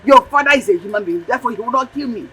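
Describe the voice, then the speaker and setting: A woman's voice: sits low, lively intonation, moderate pace, exaggerated voice. An actress playing a middle-aged, domineering mother in a melodramatic film, speaking in an emphatic, declamatory, repetitive way. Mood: angry, commanding. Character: domineering mother and stepmother, fierce then ingratiatingly apologetic. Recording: clear background noise.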